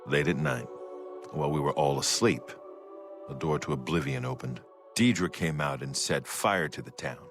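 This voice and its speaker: An actor playing a gruff male refugee in a fantasy video game, grave and measured as he tells his news. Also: extremely low pitch, big pitch swings, over music, heavily acted.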